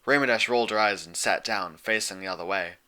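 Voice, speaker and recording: Very bright, forceful male story narrator. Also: fairly low pitch, quick pace, clean tone. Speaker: fan fiction narrator. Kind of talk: expressive scripted story narration.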